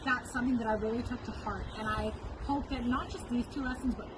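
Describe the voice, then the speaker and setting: A woman's voice: on the high side, fairly even intonation, quick pace, very noisy. A young woman giving a prepared, personal presentation as a student.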